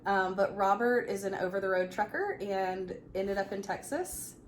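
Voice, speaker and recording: A woman in her thirties telling a personal story in a casual, chatty, animated way. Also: medium pitch, moderate pace. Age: 30s. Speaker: vlog co-host. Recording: clearly noisy room ambience.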